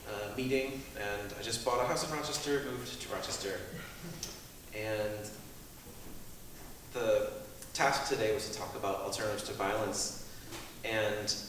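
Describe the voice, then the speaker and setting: A man speaking informally as a panelist, halting and thinking aloud in short pieces. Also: lowish voice. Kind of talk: informal, unscripted talk to an audience. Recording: very echoey hall, distant mic, noisy.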